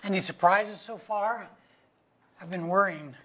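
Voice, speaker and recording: Husky, wry middle-aged husband, played by a man. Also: high voice, a little slow, sharp tone, exaggerated voice. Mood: wry musing. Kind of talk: staged play reading.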